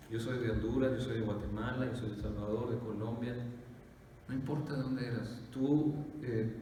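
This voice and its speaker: A pastor, preaching in a conversational style full of "eh" fillers and rhetorical questions. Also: male voice, medium pitch, darkish tone, slight background noise. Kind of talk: sermon. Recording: echoey hall from far off.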